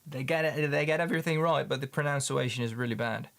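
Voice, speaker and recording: Halting Dutch-accented man, chatty. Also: medium pitch, vocal fry, fairly bright tone. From Netherlands. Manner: halting, repeats words, many ums. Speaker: livestream host, paint maker. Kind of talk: casual livestream chat with viewers.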